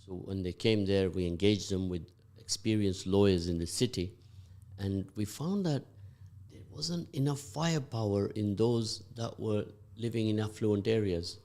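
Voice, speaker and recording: A man hosting a morning radio show, his voice sitting on the low side. He chats loosely and rambles, stopping to fill gaps as he thinks. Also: mellow. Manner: rambling, halting with many fillers. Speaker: radio breakfast host. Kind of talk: casual radio chat.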